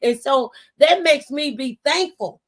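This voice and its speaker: A woman's voice: sits high, expressive, a little slow. A woman hosting a faith-based motivational conversation, testifying with rhetorical questions and repeated phrases. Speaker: talk show host and mentor.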